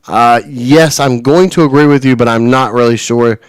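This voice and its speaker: A man's voice: medium pitch, moderate pace, smooth, no echo. A man in his forties hosting a radio show, chatting casually, rambling with frequent restarts and chuckles. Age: 40s. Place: radio studio.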